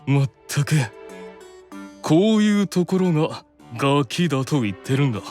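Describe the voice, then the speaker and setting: A man voicing a stern but caring elder-brother demon in an anime audio drama, measured, authoritative and sometimes exasperated. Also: fairly high pitch, very animated, darkish tone, soft background music. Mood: analytical, irritated.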